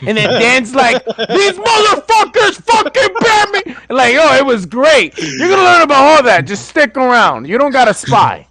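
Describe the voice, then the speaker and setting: High-voiced rowdy joking man. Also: sing-song, normal speed, few pauses, pushed and bright. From Puerto Rico. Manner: rowdy, joking, swears a lot. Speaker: podcast co-host. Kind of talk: casual profane banter.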